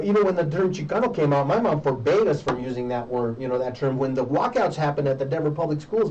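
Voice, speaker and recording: A middle-aged American man speaking earnestly as an interview host, deliberate and emphatic, pausing within his phrases. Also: on the high side, warm tone. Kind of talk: earnest conversational commentary. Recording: muffled, distant mic with faint noise.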